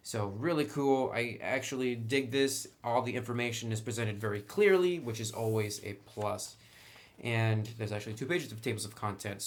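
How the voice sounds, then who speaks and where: A man giving an informal, chatty review commentary with wry asides, as a tabletop game book reviewer. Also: mid-range voice. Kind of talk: casual scripted-light review commentary.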